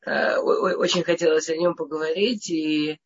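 A woman's voice: deep voice, fairly even intonation, moderate pace, husky, muffled recording. A woman with a cold giving an informal religious lesson, often stammering and restarting as she talks. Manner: hesitant, with frequent stammers and restarts. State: has a cold. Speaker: teacher.

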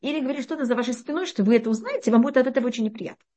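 Brisk, high-pitched woman teaching Torah. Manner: animated explaining with frequent restarts and rhetorical questions. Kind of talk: interactive religious class.

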